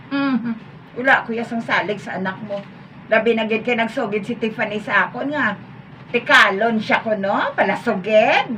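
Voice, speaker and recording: A woman acting in a comedy radio drama as a gullible, easily flattered mother, gushing and exclamatory. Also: on the high side, moderate pace, natural voice. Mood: wary, gossipy. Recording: distant, slightly noisy room recording.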